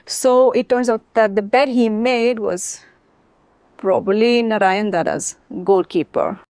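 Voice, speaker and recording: A woman from Bangladesh with a fairly high voice tells her own story as a guest. She is animated and emphatic, often repeating phrases to drive a point home. The sound is very dry, with no room echo. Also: measured pace, clear, resonant tone. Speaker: interview guest, former sprinter. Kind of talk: personal life-story storytelling.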